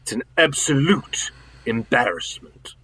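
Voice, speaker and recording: Casting: male actor as imperious senior government man, pompous and emphatic, mid-pitched, period radio mystery. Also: sing-song, a little slow, bright, forceful tone, breathy edge, heavily acted. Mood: grave, indignant. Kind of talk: radio drama acting.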